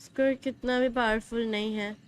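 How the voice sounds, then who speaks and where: A woman's voice: fairly high pitch, fairly even intonation, racing. A woman with an Indian accent giving casual live-stream reactions to a video game. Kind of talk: casual reactions, mixing Hindi and English. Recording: faint noise, game ambience behind.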